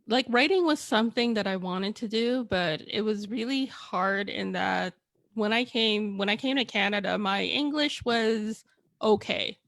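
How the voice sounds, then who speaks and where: A woman's voice: medium pitch, measured pace, creaky, a bit bright, dry recording. A woman author whose first language is a Chinese dialect and Tagalog, telling her story in a candid, rambling way over a video call. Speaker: author guest. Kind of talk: casual video chat.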